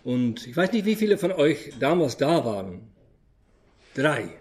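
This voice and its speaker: Dutch guy, german's not his first language, friendly and unhurried church talk. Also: mid-range voice, big pitch swings, darkish tone. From Netherlands, raised in Friesland. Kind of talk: church address.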